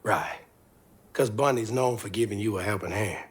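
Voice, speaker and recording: An actor playing a guarded, troubled Southern man in a video game drama, gruff and halting, with a Southern American accent. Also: on the low side. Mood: guarded, defensive. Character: guarded, troubled grown Southern man, estranged father figure.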